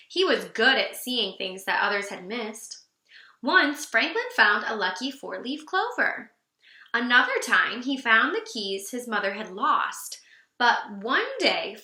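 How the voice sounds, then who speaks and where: A woman reading a children's storybook aloud in an animated, expressive way for young listeners. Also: on the high side, reverberant.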